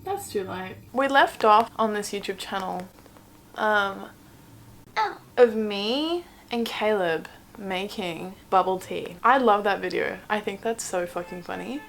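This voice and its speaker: A young woman from Australia talks straight to her camera at home, loose and rambling, full of "like"s and little self-mocking jokes. Her voice sits at an ordinary middle pitch. A faint hiss runs under the recording. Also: expressive, a little slow, gravelly, fairly bright tone. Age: 20s. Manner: chatty and rambling, many "like"s and self-mocking asides. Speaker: vlogger. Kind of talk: casual chat while doing makeup. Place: home room indoors.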